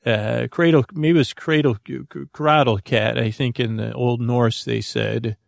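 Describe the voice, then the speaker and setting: A man with a heavily creaky, gravelly voice talks as the host of a bedtime podcast. He rambles and halts, goofily drifting off on tangents as he improvises. The sound is dry and close, at a middling male pitch. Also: steady tone, no room sound. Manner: meandering, halting, goofy, trails into tangents.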